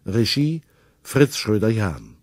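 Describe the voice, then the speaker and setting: A man reading the cast and credits of a 1950s radio play in a measured, formal way. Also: lowish voice, sing-song, gravelly, a little mellow, no echo, clean studio sound. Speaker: radio announcer.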